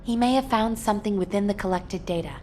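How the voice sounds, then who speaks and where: A woman playing a serious, cautious military officer in a video game, speaking frankly in a measured, businesslike way. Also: mid-range voice, a little mellow, lightly acted. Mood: serious, concerned. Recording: faint ambient noise behind.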